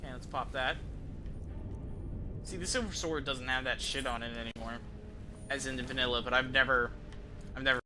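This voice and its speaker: A man's voice: mid-range voice, steady tone, a little fast, long pauses, background noise. A man giving casual, profane, slangy gameplay commentary as a game streamer. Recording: game music behind the voice. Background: video game music and sound effects.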